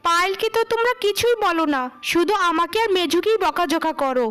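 A very high-pitched, quarrelsome young woman , acted out by a woman from a cartoon tale. She is the jealous eldest sister, sharp-tongued and full of complaint. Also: lively intonation, brisk. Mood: indignant. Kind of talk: cartoon story acting.

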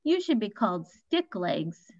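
A woman's voice: medium pitch, sing-song, measured pace, pitched below the performer's own voice. A woman reading a grumpy storybook bear. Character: grumpy big male bear, mean then sorry. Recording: dull lo-fi recording.

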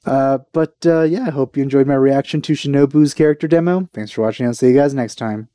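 A man's voice: medium pitch, fast, dark tone, dry recording, studio quality. A young man chatting casually as a reaction video host, informal and enthusiastic, speaking into a headset. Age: young adult. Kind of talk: casual chat reacting to a game trailer. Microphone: headset microphone.

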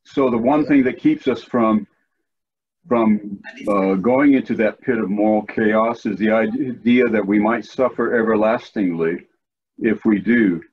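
An older man moderating a church study group on a video call, speaking in a measured, thoughtful way from a home study. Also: on the low side, slightly rough, highs cut off. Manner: measured, thoughtful talk with frequent restarts. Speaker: discussion moderator.

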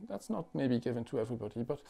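Thoughtful man from France, halting speech. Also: mid-range voice, lively intonation, gravelly, dark tone, faint music behind. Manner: thoughtful, halting, many fillers and restarts. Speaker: musician. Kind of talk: reflective interview talk. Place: living room at home.

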